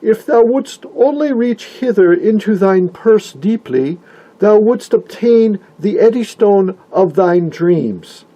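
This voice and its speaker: A man acts out a pompous preacher intoning mock scripture, pitched up well above plain talk. The delivery is ceremonial and drawn out, with solemn, archaic phrasing. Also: expressive, slow pace, warm tone, heavily acted, low-quality recording.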